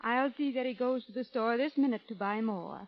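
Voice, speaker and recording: Woman playing a brisk mom in an old radio ad, high voice, muffled sound. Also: lightly acted. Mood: soothing, then scolding. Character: indulgent, brisk mother scolding a son. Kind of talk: 1930s radio ad acting.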